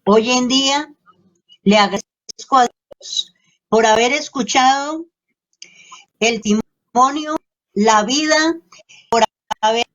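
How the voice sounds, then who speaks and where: A woman's voice: high voice, takes it slowly, pauses often, a bit bright, rough recording. A woman giving personal testimony earnestly, in simple, broken phrases. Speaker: guest giving testimony, human rights worker.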